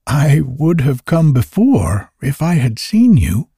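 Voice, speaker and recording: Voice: medium pitch, big pitch swings, slow, warm tone, husky, no room sound, clean studio sound, voice pushed up, full character voice. A narrator voicing a kindly, curious little girl in a storybook, earnest and full of wonder. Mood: timid.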